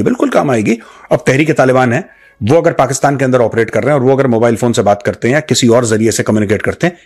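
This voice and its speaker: A man's voice: medium pitch, creaky, darkish tone, slightly rough. A man with an Indian accent giving unscripted political commentary to viewers, emphatic, repeating phrases, asking rhetorical questions and switching into English phrases. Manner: mixes English phrases into talk.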